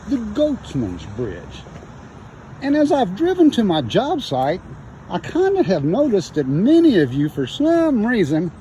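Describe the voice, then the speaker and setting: A man with a slightly high voice hosts a folksy storytelling vlog. His pitch moves in a lively way as he speaks straight to the viewers. Some outdoor and vehicle noise sits in the recording. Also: highs cut off. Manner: folksy, addressing viewers directly. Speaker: host, home builder presenting local stories. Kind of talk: storytelling to camera. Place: outdoors in rain and inside a Jeep.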